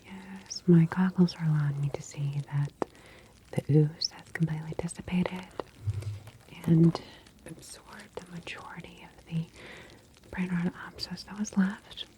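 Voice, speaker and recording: A woman whispering softly and breathily in a gentle, reassuring ASMR clinic roleplay. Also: fairly low pitch, fairly even intonation, a little slow, noisy background. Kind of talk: ASMR roleplay, soothing patient-facing talk.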